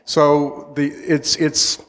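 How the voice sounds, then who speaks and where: A male economist speaks up from the audience, his voice sitting a little high and ringing in a reverberant hall. He stumbles through his question with repeated words and restarts. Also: monotone. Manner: halting, many restarts and hedges. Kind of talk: question from the floor. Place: conference hall.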